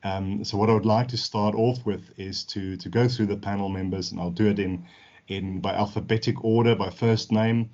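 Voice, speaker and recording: Male panel moderator, fairly low voice, welcoming, dull video-call audio. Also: fairly even intonation, normal speed, a little mellow. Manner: welcoming, introduces each panelist by name.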